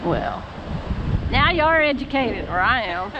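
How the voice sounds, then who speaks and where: A woman's voice: a little high, big pitch swings, measured pace, muffled recording. A middle-aged woman vlogging casually outdoors on a trail with a handheld camera. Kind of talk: casual outdoor travel vlog chat. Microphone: handheld camera at arm's length. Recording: windy outdoor noise.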